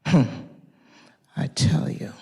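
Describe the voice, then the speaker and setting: A woman playing an elderly, wise Grenadian mother in a dramatized reading, speaking deliberately with a Grenadian accent and consoling manner. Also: warm tone, lightly acted. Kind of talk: dramatized stage reading of fiction. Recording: reverberant recital hall.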